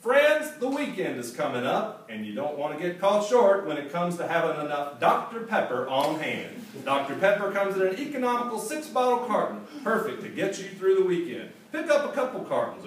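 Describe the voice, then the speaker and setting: A man voicing a folksy old-time male radio announcer pitching soda in a hearty, booming sales-pitch manner, caught from a distance in an echoey hall. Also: very animated, fairly quick, hardly any pauses. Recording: echoey hall, distant mic, faint hiss.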